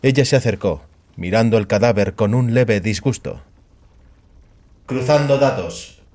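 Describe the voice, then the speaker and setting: A man's voice: fairly low pitch, moderate pace, darkish tone. A man narrating scripted audio fiction as a storyteller.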